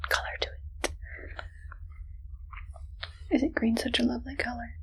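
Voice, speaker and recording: A woman playing a friendly but evil scientist in an ASMR roleplay, whispering softly in a gentle, teasing, unhurried way. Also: on the high side, low-quality recording. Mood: sweetly sinister. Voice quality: soft breathy whisper. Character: friendly but evil female mad scientist, playfully menacing.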